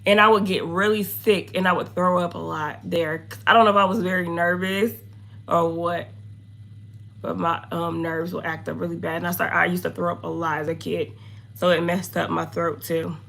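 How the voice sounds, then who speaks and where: A young woman with a hoarse, gravelly voice talks loosely and chattily to her live viewers, drifting from topic to topic. Her tone is bright and a little sharp, sitting at an ordinary pitch. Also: little pitch movement, moderate pace. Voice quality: low, slightly deep voice. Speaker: makeup streamer. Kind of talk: casual livestream chat reading comments.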